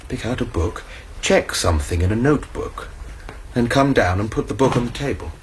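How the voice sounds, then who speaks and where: An actor as an agitated young student in a radio play. His pitch moves around a great deal as he anxiously explains himself, over faint background noise. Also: expressive, moderate pace, close to an everyday voice. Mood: earnest recounting. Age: young adult. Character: anxious, agitated male student confessing to a fight. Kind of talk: radio drama acting.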